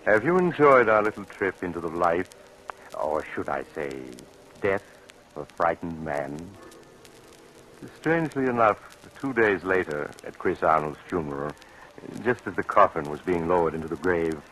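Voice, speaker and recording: An actor playing a grave, composed and ominous gentleman in old radio drama style. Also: sits low, lively intonation. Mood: slyly sinister. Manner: measured, ominous, authoritative. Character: grave, sinister, composed gentleman storyteller and doctor. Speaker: storyteller host and actor. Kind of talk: 1940s radio acting. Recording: faintly noisy old radio recording.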